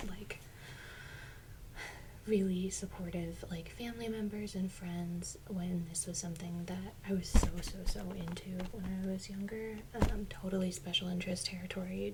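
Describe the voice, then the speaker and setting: Soft, breathy woman whispering over loud noise. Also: on the low side. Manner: casual, gentle, musing. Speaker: ASMR video host. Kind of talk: soft-spoken ASMR toy unboxing.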